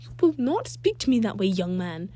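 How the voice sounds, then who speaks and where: A woman's voice: medium pitch, sing-song, normal speed, mellow, natural voice. A woman voicing a worried, hot-tempered mother in a story, insistent and scolding. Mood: frustrated, scolding.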